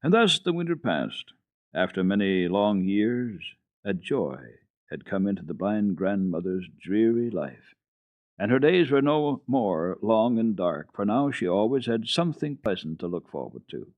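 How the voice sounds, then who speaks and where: A man's voice: fairly low pitch, animated, a little slow, dry recording. A man narrating a children's classic as a radio storyteller, reading dramatically. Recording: dull archival radio tape, dry.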